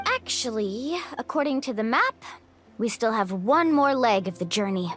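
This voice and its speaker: A young, confident adventure guide in an anime game. Her voice is high and very animated, upbeat and take-charge, with faint music behind it. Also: moderate pace. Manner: encouraging, take-charge, lively. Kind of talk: anime-style video game voice acting.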